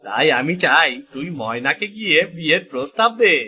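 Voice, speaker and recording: Man playing a sharp-voiced, scheming greedy fisherman. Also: a little high, sing-song, fairly quick, heavily acted. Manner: suspicious, questioning. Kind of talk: Bengali cartoon voice acting.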